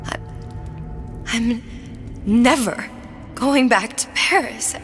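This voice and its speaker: Music plays clearly behind a young woman. She is a bookish, troubled game heroine who has lost her powers, and she is acted with dramatic feeling. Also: on the high side, extremely slow. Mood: shaken, despairing. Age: young adult. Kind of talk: dramatic video game acting.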